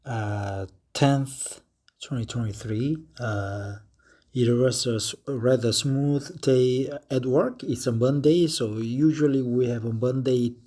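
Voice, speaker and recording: A man's voice: medium pitch, slow, warm tone. A man in his fifties from Korea, a native Korean speaker with a Korean accent, talking in a casual spoken diary and reading aloud, hesitant with many filler sounds. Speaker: vlogger.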